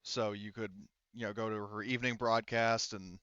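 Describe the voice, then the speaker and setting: A man's voice: lowish voice, little pitch movement, normal speed, sharp tone, clean tone, no echo. A man game-mastering a tabletop roleplaying game in an informal, rambling way full of asides, on a slightly muffled recording.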